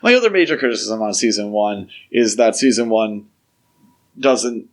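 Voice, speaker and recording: A man's voice: fairly low pitch, lively intonation, normal speed. A man co-hosting a podcast, giving his opinions in an informal, conversational review chat. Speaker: podcast co-host.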